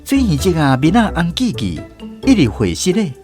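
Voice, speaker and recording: A man's voice: on the high side, huge pitch swings. Nostalgic male storyteller over music. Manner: gentle, nostalgic, unhurried. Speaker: narrator. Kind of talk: scripted storytelling narration, reminiscing. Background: clear background music.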